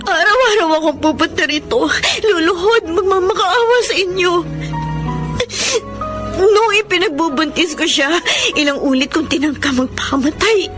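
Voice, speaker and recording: An actress playing a desperate young mother in a radio drama, crying as she pleads haltingly for her child. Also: very high voice. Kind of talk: Filipino radio drama acting.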